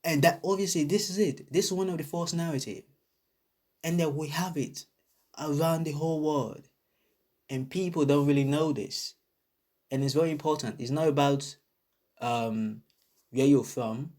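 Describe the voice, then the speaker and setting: A man's voice: a little high, moderate pace, pauses often, a little mellow. A man from Nigeria with a Nigerian accent, ranting bluntly to camera in a casual vlog, swearing often and repeating his points for emphasis. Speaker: vlogger. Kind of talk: casual opinion rant to camera.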